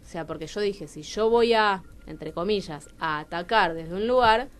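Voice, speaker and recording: A woman's voice: mid-range voice, normal speed, clean tone. A woman with a Rioplatense Argentine accent speaking candidly as a guest playwright in a radio interview, questioning herself aloud and acting out bits of dialogue. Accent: Rioplatense Argentine Spanish. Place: radio studio.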